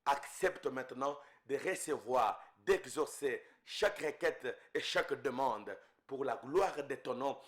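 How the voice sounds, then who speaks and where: A man's voice: medium pitch, fairly bright tone. A man from Congo leading an intense spoken prayer as a preacher, fervent and repeating invocations, his voice rising and falling in waves.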